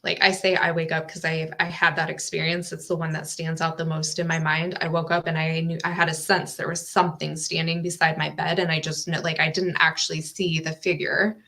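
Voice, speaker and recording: A woman talks as a guest in a casual interview, earnest and reflective as she explains. Her voice sits low with a gravelly fry, yet has a bright, forceful edge. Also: flat delivery, a little fast, hardly any pauses. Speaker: empowerment coach, guest.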